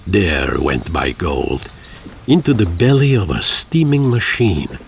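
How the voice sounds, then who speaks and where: Slow, gravelly, pompous adventurer. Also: male voice, deep voice, very animated, slight background noise, full character voice. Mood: somber, reflective. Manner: dramatic, florid, deliberate storytelling. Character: weary, pompous, wistful old male adventurer narrating his tale. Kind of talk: theatrical game cutscene narration.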